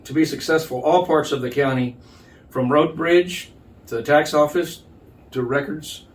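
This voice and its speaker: An older man, an official being interviewed, explaining things in a measured, halting, plain-spoken way. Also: a little high. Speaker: county judge, interview guest. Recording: distant mic, noticeable background noise.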